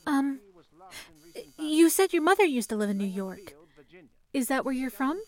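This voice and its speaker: An actress playing a polite, earnest young telephone operator in an old-time radio drama, soothing and composed in manner. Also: female voice, sits high, expressive, measured pace, a little mellow. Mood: friendly. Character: young 1930s telephone operator, calm, earnest woman saving for college.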